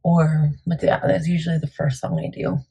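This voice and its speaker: A woman with a gravelly morning voice, chatting casually through a makeup tutorial with lots of fillers. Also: level tone, normal speed, warm tone. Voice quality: gravelly, deep. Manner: chatty, rambling, many fillers. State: morning voice, a bit crackly. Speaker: beauty vlogger.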